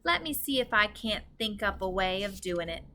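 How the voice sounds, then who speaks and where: A woman's voice: mid-range voice, expressive, moderate pace, sharp tone, slight background noise. A woman voicing a small, plucky, helpful young girl in a storybook.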